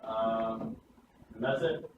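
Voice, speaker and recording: A man's voice: fairly low pitch, little pitch movement, slow pace, narrow, tinny audio. 30s guy from south africa introducing himself to class, lots of uhs, mic far away, big echo. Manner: hesitant, many fillers. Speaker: MBA student. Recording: echoey room, from far off, noisy.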